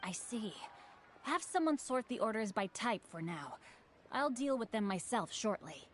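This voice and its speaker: A woman's voice: on the high side, lively intonation, unhurried, slight background noise. Refined, eloquent teenage boy heir. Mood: calm, attentive. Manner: polite, eloquent, formal. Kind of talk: video game voice acting.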